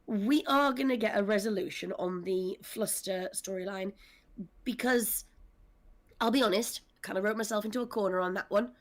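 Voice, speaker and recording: Woman, mid-pitched voice, casual panel talk, wry, self-deprecating, writer-director. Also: normal speed. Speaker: audio drama scriptwriter and director.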